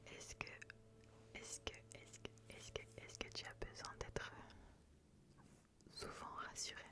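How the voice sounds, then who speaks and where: Woman doing asmr, all breathy whispers right up on the mic, soothing and repeats stuff. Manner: slow, soothing, repeats words and phrases. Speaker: ASMR host. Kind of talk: ASMR personality-test roleplay, intimate questioning. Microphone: close microphone.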